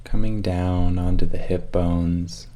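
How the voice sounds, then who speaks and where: A man leading a guided meditation, giving calm, measured instructions with long pauses between them. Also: sits low.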